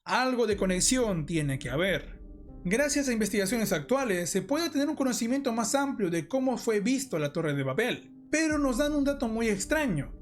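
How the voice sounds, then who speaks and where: A commentator explaining and speculating in an analysis of a horror video, addressing viewers directly. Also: medium pitch.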